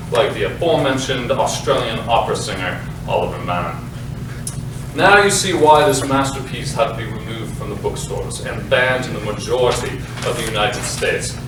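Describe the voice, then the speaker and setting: A man plays a self-important, defensive playwright in a stage comedy, addressing the audience grandly. His mid-pitched voice comes from far off in a very echoey space. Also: natural voice. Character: pompous, defensive playwright presenting his lurid works. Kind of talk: comic theatrical monologue to audience. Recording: very echoey noisy hall, far off.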